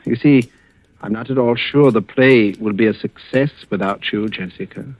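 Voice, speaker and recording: An actor playing a smooth, scheming husband who speaks with coaxing, patronizing control, in 1940s radio style. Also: male voice, on the low side, moderate pace, natural voice. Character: smooth, scheming husband with quiet control over his wife. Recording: muffled, dull old radio recording.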